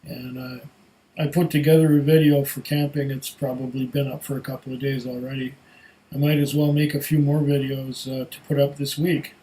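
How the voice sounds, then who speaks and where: A man's voice: mid-range voice, mellow, rough recording. Congested, tired man chatting casually. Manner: rambling, many fillers. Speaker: whisky reviewer. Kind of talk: casual vlog chat.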